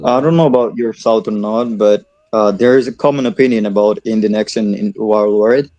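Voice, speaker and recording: A man's voice: fairly low pitch, a little fast, warm tone, no room sound. A man giving casual advice on an online voice call, often repeating his words. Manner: repeats words while advising.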